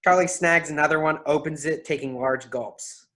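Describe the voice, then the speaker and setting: A man's voice: fairly high pitch, normal speed, bright, forceful tone. A man reading stage directions as scripted narration at a play reading.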